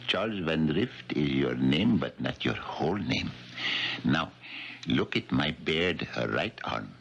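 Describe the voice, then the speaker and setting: A mysterious, courteous mystic from a seventies radio play. His very deep voice swings widely as he delivers formal patter. The old recording is dull and slightly noisy. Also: big pitch swings, takes it slowly, creaky, fairly bright tone, heavily acted. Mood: commanding, mysterious. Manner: formal, ceremonious, hesitant showman patter. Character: theatrical male psychic guru, polite, mysterious showman. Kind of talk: 1970s radio drama acting.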